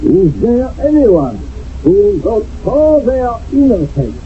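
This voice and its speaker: A man's voice: high-pitched, very animated, takes it slowly, exaggerated voice. An actor playing a stern, formal chairman of a wartime village court in a radio drama. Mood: solemn. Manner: formal, solemn, judicial. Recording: lo-fi old radio broadcast, faint noise.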